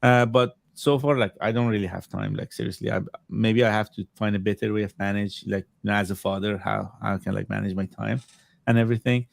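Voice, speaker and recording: A man from Iran whose native language is Farsi, chatting casually as a podcast guest in halting, repetitive English. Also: lowish voice, fairly even intonation, vocal fry, no echo. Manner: halting, repetitive phrasing as a second-language speaker. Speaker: podcast guest, video game collector. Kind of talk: casual chat.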